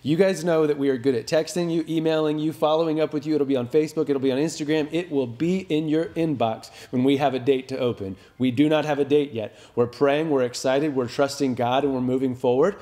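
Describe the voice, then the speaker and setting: A man's voice: fairly high pitch, fairly even intonation, fairly quick, few pauses, mellow. An American man in his thirties, a pastor giving an informal, earnest update to his congregation in a plain-spoken, reassuring way. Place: small indoor room with wood-plank walls.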